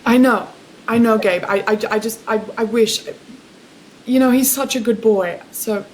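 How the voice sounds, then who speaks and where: A woman playing an anxious, loving mother who speaks haltingly in a film script table read. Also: on the high side, a little mellow, slight background noise, lightly acted, low-quality recording. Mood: worried. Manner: halting, repeating words.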